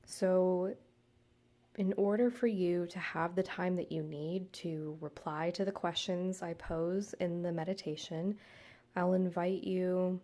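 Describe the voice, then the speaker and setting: A woman guiding a meditation, speaking to the listener in a soothing, measured way with deliberate pauses. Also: fairly low pitch, steady tone, dark tone. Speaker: guided meditation host, counselor and yoga teacher. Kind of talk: gentle guided meditation narration, addressed to the listener.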